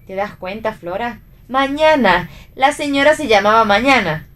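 A woman's voice: fairly high pitch, animated, moderate pace, fairly bright tone. Breezy actress as Argentine female friend. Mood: breezy, teasing. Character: Romy, breezy teasing female friend giving advice. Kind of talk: radio theatre reading, dramatic dialogue.

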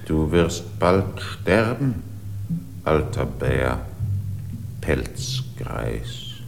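An old mountain spirit, deep gravelly voice, slow and solemn, radio drama. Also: male voice, sing-song, noisy background, full character voice. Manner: solemn and measured. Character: ancient all-seeing mountain spirit, male. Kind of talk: radio play acting.

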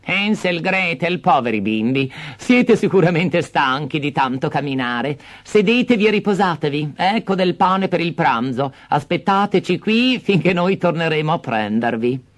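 A man doing a shrill, harsh stepmother. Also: expressive, moderate pace, hardly any pauses, natural voice. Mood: falsely sweet. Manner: sharp, scolding and impatient. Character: harsh, bossy, cruel stepmother.

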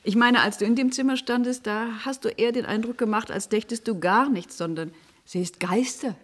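A woman's voice: fairly high pitch, fairly quick, lightly acted. An actress playing a frank old woman who questions and reassures, in a radio play. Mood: reproachful, coaxing. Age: older. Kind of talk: German radio play acting.

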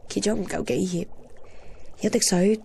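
A woman's voice: medium pitch, fairly even intonation, moderate pace, warm tone, a little hiss or hum, close to an everyday voice. A woman with a Hong Kong Cantonese accent playing a wistful, candid young heroine who narrates her romance in a radio drama. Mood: melancholy. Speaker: narrator and lead character. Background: music.

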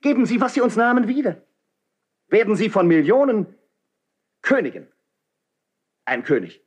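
An actor playing an idealistic, proud young nobleman in a 1970s German radio play, delivering classical verse as impassioned, rhetorical declamation in a husky voice. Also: high voice, very animated, moderate pace, full character voice. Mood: impassioned pleading.

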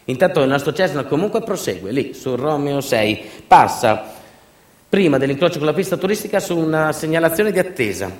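A man performing a theatrical narrative monologue, sardonic and full of ironic asides, moving between emphatic delivery and quiet understatement. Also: medium pitch. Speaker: stage actor and narrator of a monologue. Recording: echoey, roomy sound.

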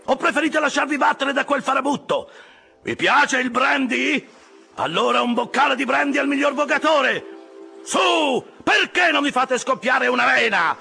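A man's voice: very high-pitched, creaky, sharp tone, voice pushed up, full character voice. A man voicing a boisterous whaleboat officer who taunts and cajoles his oarsmen in an audiobook story. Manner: exclamatory, taunting, mock-exasperated, wheedling and bellowing at his crew.

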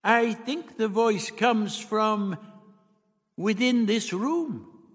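A man voicing an elderly gentleman doctor, dry, fussy and shrewd, with hesitant uh's, in a storybook reading. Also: high voice, lively intonation, very slow, in a large hall, pitched above the performer's own voice, exaggerated voice. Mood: mild reproof.

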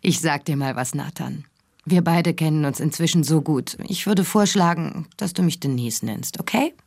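An actress playing an assertive female talk-radio host in a German radio drama, dry and no-nonsense, with a husky voice. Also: sits low, moderate pace, darkish tone, exaggerated voice. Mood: friendly, confiding.

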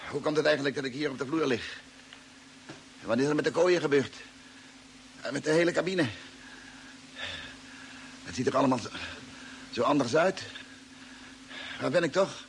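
A male actor voices a groggy, confused astronaut in an old radio play. His mid-pitched voice is full of creak, and he grumbles in a halting, querulous way. The recording carries some noise. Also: close to an everyday voice, low-quality recording. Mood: indignant, bewildered. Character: confused, irritable male astronaut waking up weakened. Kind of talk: Dutch radio drama acting.

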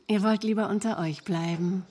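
Flatmate role female actor: young, brash, talkative woman with a medium-pitched voice, natural radio drama delivery. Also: moderate pace, close to an everyday voice. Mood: brash, excited. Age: young adult. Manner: blunt, chatty. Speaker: supporting character, flatmate.

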